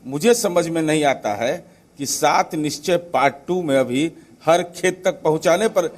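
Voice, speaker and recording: A man's voice: on the high side, unhurried. A man giving a spoken political soundbite to the press.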